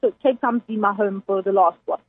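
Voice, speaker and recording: A South African woman with a husky, slightly high voice, talking casually and emphatically as a guest chef, laughing often. The sound is dry and narrow, like a radio line heard over a phone. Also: a little fast, no echo. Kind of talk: casual interview chat.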